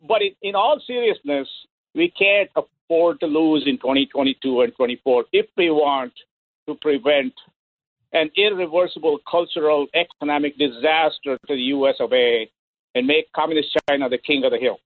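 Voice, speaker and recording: A man's voice: fairly high pitch, moderate pace, dry recording. A man calling into a talk radio show, joking sarcastically and then turning earnest. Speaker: caller. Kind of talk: phone-in comment. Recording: tinny phone line.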